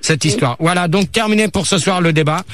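Homme animateur radio à voix haute. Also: male voice, sits high, a little fast, a bit bright, no echo. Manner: hesitant, many fillers, trying to wrap up. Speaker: radio show host. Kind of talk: chaotic live radio debate. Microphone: studio microphone.

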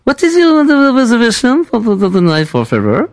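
A man's voice: very high voice, huge pitch swings, normal speed, pitched above the performer's own voice, heavily acted. A man voicing a bumbling French male tourist who stammers through broken English on a prank phone call. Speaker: prank caller.

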